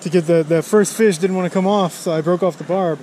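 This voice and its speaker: A man with an American accent and a fairly high voice talks casually as he fishes. He is outdoors by a city river, with faint noise behind him on an action camera. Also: fairly even intonation, a little fast, dark tone. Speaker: fishing vlogger. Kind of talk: casual chat while fishing. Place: outdoors beside an urban river. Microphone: action camera worn on the body.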